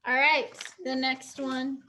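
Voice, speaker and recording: Bright, high-voiced upbeat woman teacher. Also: flat delivery, slow, slight background noise. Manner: upbeat, encouraging, explains step by step. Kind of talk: leading a pantomime activity online.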